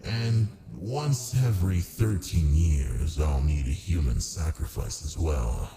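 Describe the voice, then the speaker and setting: A man playing a massive ancient male demon in an ASMR roleplay, smug and amused, with a smooth, politely menacing, domineering way of talking. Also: a bass voice, expressive, slow, dark tone, slight background noise, full character voice. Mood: matter-of-fact. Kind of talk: ASMR roleplay, close and unhurried.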